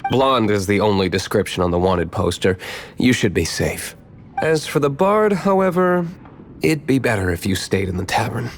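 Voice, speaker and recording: An actor playing an aloof, secretive young man in a video game, with a dry, measured, curt delivery. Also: lowish voice, big pitch swings, faint music behind. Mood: composed.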